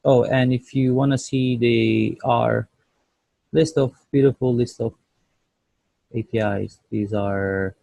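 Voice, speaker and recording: A man giving an informal project update on a video call, speaking in a hesitant, halting way. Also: mid-range voice, fairly even intonation, vocal fry, mellow, no echo. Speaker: student developer presenting a team project check-in. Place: indoors at home, on a video call.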